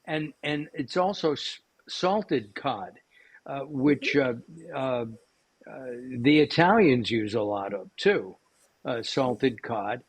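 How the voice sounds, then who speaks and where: A man's voice: medium pitch, animated, slow, husky. A man hosting a cooking show, chatting casually with lots of fillers and restarts. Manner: rambling, many fillers and restarts.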